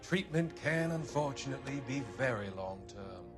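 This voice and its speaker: Man acting as smooth, calculating psychiatrist, lively intonation. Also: medium pitch, lightly acted. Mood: calm, guarded. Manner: measured, soothing, authoritative. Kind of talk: dramatic video game cutscene acting. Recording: music under the voice.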